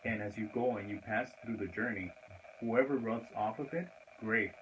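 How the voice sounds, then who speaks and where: A man's voice: lowish voice, normal speed, fairly bright tone, a little hiss or hum, poor audio. A young man co-hosting a podcast, speaking in an earnest, reflective way. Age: young adult. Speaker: podcast co-host. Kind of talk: casual podcast chat.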